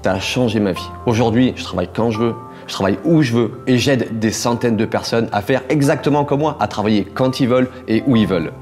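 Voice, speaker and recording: Man, late thirties, medium pitch, testimonial delivery with faint music behind. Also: a little fast, few pauses, darkish tone. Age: 30s. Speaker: entrepreneur giving a testimonial. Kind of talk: promotional testimonial.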